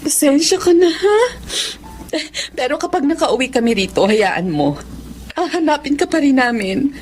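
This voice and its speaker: Kind lady employer: raised register, gentle, apologetic, reassuring, Filipino drama. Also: high voice, very animated, moderate pace, slight background noise. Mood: grateful, emotional. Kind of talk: Filipino radio-style drama acting.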